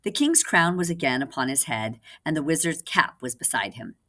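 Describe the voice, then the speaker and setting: Low-voiced woman reading aloud to children. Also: sing-song, normal speed, bright, forceful tone. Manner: instructive, addressing young listeners. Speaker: reading teacher. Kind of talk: children's read-aloud with explanatory asides.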